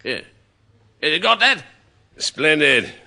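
An actor playing a flustered, evasive British civil servant with a plummy, hesitant delivery in a radio comedy. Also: male voice, on the high side, very animated, slow pace, creaky, pushed and bright, a little hiss or hum, exaggerated voice. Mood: smug. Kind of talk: 1960s radio comedy acting.

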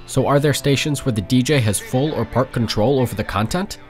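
A man's voice: medium pitch, a little fast. A man reading scripted documentary narration and posing questions. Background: guitar music.

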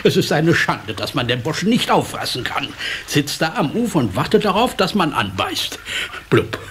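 Grumpy old carp, swooping high. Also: male voice, very animated, normal speed, a bit bright. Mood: sulky. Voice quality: blubbering. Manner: theatrical, scolding, exclaiming. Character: grumpy old male carp, know-it-all, easily outraged. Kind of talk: children's radio play acting. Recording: slightly noisy old cassette transfer.

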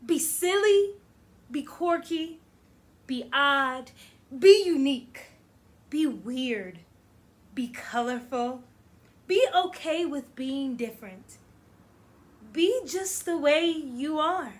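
A young woman teacher reading a picture book aloud to children in an animated, deliberate, encouraging way. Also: sits high. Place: small indoor room against plain backdrop.

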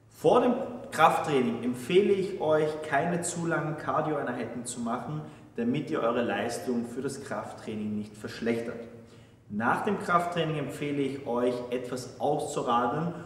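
A young man delivers fitness tips in an upbeat, emphatic style, snapping out short headline phrases. His mid-pitched voice rings through a large, empty gym with a long, roomy echo. He sounds set back from the mic. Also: distant mic. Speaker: fitness coach. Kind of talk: scripted tips presentation.

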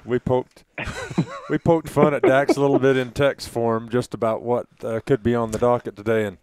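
A man's voice: mid-range voice, fairly even intonation, moderate pace, darkish tone, clear, resonant tone, no room sound. Man co-hosting, close dry sound. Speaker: podcast co-host. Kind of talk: casual hunting podcast discussion. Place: small wood-panelled room, around a table. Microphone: headset mic.

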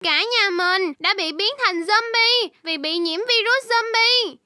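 Tiny high-voiced baby shark. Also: female voice, takes it slowly, sharp tone, no room sound, studio quality, full character voice. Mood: earnest, pleading. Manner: exclamatory, addresses young viewers directly. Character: young baby shark, sweet, easily frightened, earnest. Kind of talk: children's cartoon acting.

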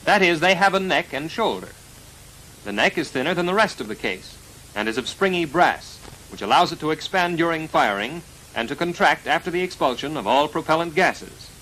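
An American man narrating a 1940s military instructional film in a formal, precise, measured delivery, on a vintage film soundtrack. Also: a little high, expressive, bright, forceful tone, a little hiss or hum, poor audio. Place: voice-over recorded for a film.

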